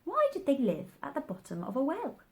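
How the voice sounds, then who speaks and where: Woman as a curious little girl, lively pitch. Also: a little fast, full character voice. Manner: earnest, questioning. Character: curious, polite, easily offended little girl. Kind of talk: storybook character voice.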